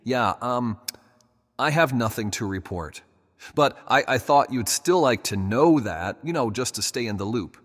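A man's voice: mid-range voice, lively intonation, a little slow, a bit bright. Man playing a sheepish spy in an echoing hall. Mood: sheepish, casual. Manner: hesitant, casual. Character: sheepish, clueless male spy with nothing to report. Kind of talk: audio drama acting. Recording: long reverberant hall.

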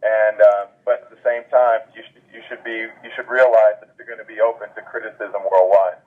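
A man's voice: on the low side, steady tone, brisk. A man giving his opinion conversationally as a sports interview guest over the telephone. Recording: tinny telephone line.

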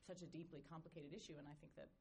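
A woman's voice: low voice, steady tone, rapid-fire, warm tone, a little hiss or hum. A woman speaking as a panelist, asking long, searching questions with many restarts. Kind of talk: panel discussion. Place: conference panel stage.

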